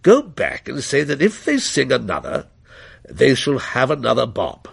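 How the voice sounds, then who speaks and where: A man's voice: medium pitch, wildly swooping pitch, moderate pace, vocal fry, slightly rough, full character voice. A man reading a story, voicing a genial, wry middle-aged father.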